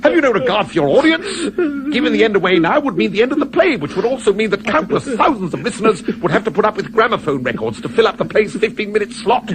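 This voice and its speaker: A man's voice: high voice, sing-song, fast, a crowd in the background. A British man playing an urbane, mocking devil in a 1970s radio play, pompous and wry. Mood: mock indignation. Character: urbane, mocking male devil, theatrical and impatient.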